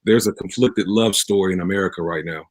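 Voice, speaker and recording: A man giving conversational interview answers, speaking haltingly and repeating words as he thinks. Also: low-pitched, no room sound. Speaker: pastor and radio drama producer, interview guest.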